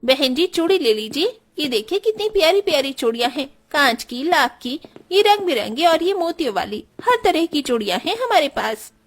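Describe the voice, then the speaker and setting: Cartoon story bangle seller, female performer: high, swooping, eager, coaxing sales patter. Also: sing-song, moderate pace, hardly any pauses. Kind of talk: animated Hindi storybook dialogue.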